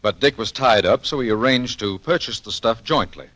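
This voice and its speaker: An actor playing an urbane, easygoing importer and amateur sleuth in a 1940s radio drama, with polished, genial delivery. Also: male voice, mid-range voice, moderate pace, a bit bright, no echo, natural voice. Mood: narrating. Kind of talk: 1940s radio detective acting and narration.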